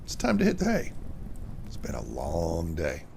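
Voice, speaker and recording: A low, creaky actor's portrayal of a bitter male loner in a radio play. He mutters to himself, and clear background noise runs under the voice. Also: wildly swooping pitch, normal speed, heavily acted. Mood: smug, wistful. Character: bitter reclusive man, self-satisfied and uneasy. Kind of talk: radio drama monologue.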